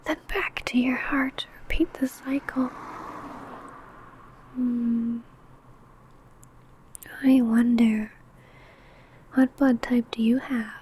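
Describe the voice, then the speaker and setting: Woman acting a slow, breathy, seductive vampire woman. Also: on the high side, fairly even intonation, a little mellow, slight background noise. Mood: predatory, teasing. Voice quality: breathy, often whispered. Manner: slow, teasing, menacing, deliberate. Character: seductive, possessive female vampire coworker stalking prey. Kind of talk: ASMR roleplay, intimate monologue to listener.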